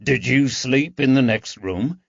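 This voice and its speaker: A man voicing a patient police constable who asks his questions gently and coaxingly. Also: medium pitch, a little slow, vocal fry, voice pushed up. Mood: gentle. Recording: dull, dry audiobook recording.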